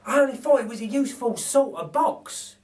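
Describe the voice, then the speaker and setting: A man's voice: very high voice, lively intonation, brisk, a little mellow, pitched above the performer's own voice. A man voicing a good-natured, plain-spoken working-class male burglar with colloquial speech in a children's storybook. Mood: matter-of-fact. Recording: distant microphone.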